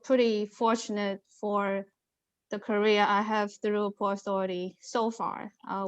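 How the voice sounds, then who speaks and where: A woman's voice: medium pitch, a bit bright, no room sound. A middle-aged woman, a non-native English speaker with an accent, speaking earnestly and reflectively as a panelist giving career advice. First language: not English. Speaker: panelist, airport engineer.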